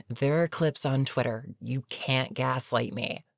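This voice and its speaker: A voice actor playing an older, experienced villain in an audio roleplay, weary and dryly sarcastic but reluctantly protective, with a wry, deliberate delivery. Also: medium pitch, creaky, dry recording, studio quality. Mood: exasperated, wry. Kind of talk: intimate audio roleplay monologue.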